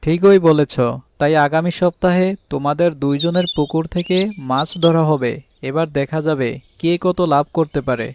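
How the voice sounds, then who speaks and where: Man acting practical village husband, higher-pitched voice. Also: moderate pace, darkish tone, natural voice. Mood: encouraging. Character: village husband, fish farmer, practical and good-humoured peacemaker. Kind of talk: animated fairy tale voice acting. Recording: clearly noisy track with birdsong.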